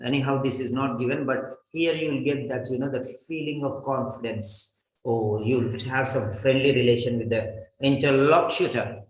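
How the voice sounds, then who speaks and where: A man's voice: mid-range voice, fairly even intonation, roomy sound, poor audio. A man in his fifties from India with an Indian English accent, whose first language is Telugu, coaching an online speaking class and calling on students by name. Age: 50s. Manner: brisk, calls names, repeats questions. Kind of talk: online class practice interview.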